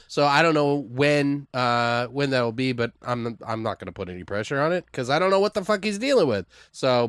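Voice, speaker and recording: A man's voice: medium pitch, fairly bright tone, no echo, studio quality. A man co-hosting a podcast with casual, profane, teasing banter. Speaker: podcast co-host.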